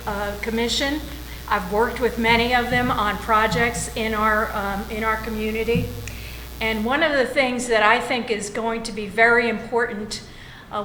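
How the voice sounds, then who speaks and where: A woman giving a measured opening statement as a mayoral candidate, pausing often with fillers. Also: fairly high pitch, a bit bright, roomy sound, slight background noise, poor audio. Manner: measured, with frequent filler pauses. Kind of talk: opening statement at a public forum.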